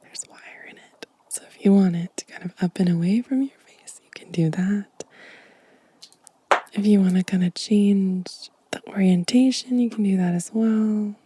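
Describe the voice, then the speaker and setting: A woman doing a gentle, casual ASMR show and tell, shifting between breathy whispering and soft-spoken talk close to the microphone. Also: mid-range voice, unhurried. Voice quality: breathy whisper mixed with soft voiced speech. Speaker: ASMR video host. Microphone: close microphone, dry sound.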